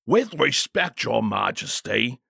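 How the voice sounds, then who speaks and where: A man's voice: mid-range voice, very animated, slow, creaky, pushed and bright, slightly rough, no echo, clean studio sound, full character voice. A man voicing a burly, deferential armored bodyguard in a storybook, speaking in a grave, formal, warning manner. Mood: firm, respectful.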